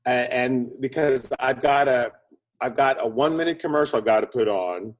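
A man with a medium-pitched, somewhat husky voice speaks as an expert guest in an interview. He explains conversationally and animatedly, acting out his examples in short, punchy phrases. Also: moderate pace, low-quality recording. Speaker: interview guest, screenwriting consultant and producer.

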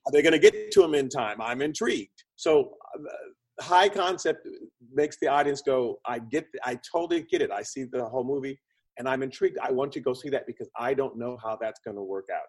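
A man speaking as an expert interview guest, explaining conversationally in an animated way with short punchy phrases and a slightly husky voice. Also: mid-range voice, fairly quick. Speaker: interview guest, screenwriting consultant and producer.

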